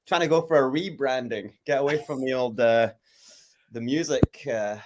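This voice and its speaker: A man's voice: mid-range voice, moderate pace, background noise. A man co-hosting a fan show, chatting casually with stop-start sentences and fillers. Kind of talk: casual banter.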